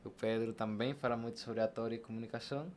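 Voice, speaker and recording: Young man, Brazilian Portuguese accent, casual storyteller, podcast guest, mid-pitched voice, noisy room ambience. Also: steady tone, fast talker, a little mellow. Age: young adult. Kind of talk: casual personal storytelling in an interview.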